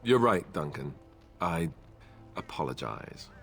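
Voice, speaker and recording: A man's voice: low voice, big pitch swings, takes it slowly, gravelly, a bit bright, slightly rough, soft background music, exaggerated voice. An actor playing a wry, flippant warrior who respects his commander, in a video game. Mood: earnest.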